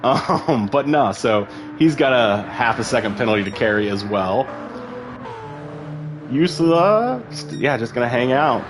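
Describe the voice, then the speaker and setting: A man's voice: mid-range voice, lively intonation, unhurried, music in the background. A man giving casual, rambling live commentary on an online sim race, full of fillers and asides.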